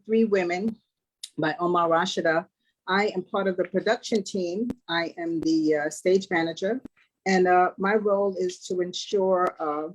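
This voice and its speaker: A sixty-five-year-old woman answering interview questions as a guest, measured and earnest in her conversational delivery. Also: medium pitch, poor audio. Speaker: stage manager, interview guest.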